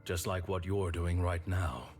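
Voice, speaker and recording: A calm, low-voiced accuser. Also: male voice, a little slow, faint music behind. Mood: accusing. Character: cool accuser laying out a crime. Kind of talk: video game voice acting.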